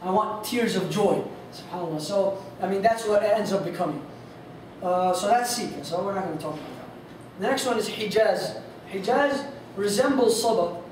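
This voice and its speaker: A man's voice: high voice, moderate pace. A man giving an informal lesson on recitation styles, casual and rambling with many fillers, and losing his voice. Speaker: instructor on Quran recitation. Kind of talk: informal lesson with sung demonstrations. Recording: echoey hall from far off, faint noise.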